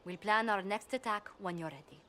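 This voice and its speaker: A woman's voice: medium pitch, a little fast, a bit bright, a little hiss or hum, natural voice. A woman playing a polished young diplomat in a video game, courteous, formal and firm. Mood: reassuring. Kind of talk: video game cutscene acting.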